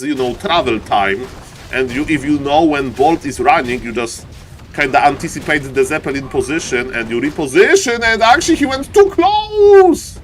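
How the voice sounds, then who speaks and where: A man with a Polish accent casts a strategy game live for his stream. His voice sits a bit high, and he talks excitably, repeating phrases for emphasis. There is some noise behind him. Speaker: esports caster and live streamer. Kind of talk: live strategy game commentary with chat banter.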